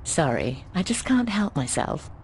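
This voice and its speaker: Lively, lowish-voiced landlady. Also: unhurried, a little hiss or hum, heavily acted. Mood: breezy, teasing. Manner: expressive, teasing, calls people darling. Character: breezy, affectionate, bawdy landlady, worldly older friend. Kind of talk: conversational video game voice acting.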